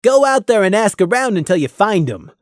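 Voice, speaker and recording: A woman's voice: mid-range voice, big pitch swings, fairly quick, dry recording, studio quality, voice pushed up, natural voice. Woman acting a brusque stallion sergeant. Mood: commanding. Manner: commanding, clipped. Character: Gloom, brusque, burdened male pony sergeant.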